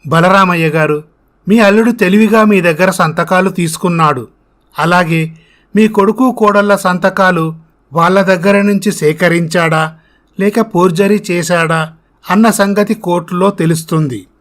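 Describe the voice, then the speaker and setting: A man voicing a confident, reassuring lawyer in a story, measured and explanatory. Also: sits high, steady tone, a bit bright.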